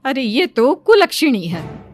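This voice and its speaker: A woman's voice: high voice, big pitch swings, slow pace, no echo, faint music behind, heavily acted. A woman playing a gossipy middle-aged neighbour in a dramatized moral story, judgmental, preachy and insinuating, drawing out her words. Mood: scornful warning.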